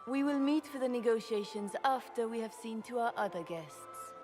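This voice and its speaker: An actress playing a poised, gracious empress in a fantasy game, formal, stately and courtly. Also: female voice, on the high side, expressive, normal speed, music in the background, natural voice. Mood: gracious, regal.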